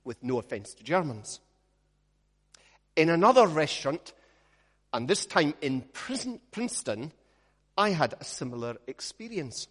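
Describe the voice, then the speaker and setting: A Scottish man, a minister, leads a prayer and then preaches, telling stories with a measured pace and gentle humor. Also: fairly high pitch, big pitch swings, slightly rough, big-room sound. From Scotland. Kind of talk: sermon with opening prayer.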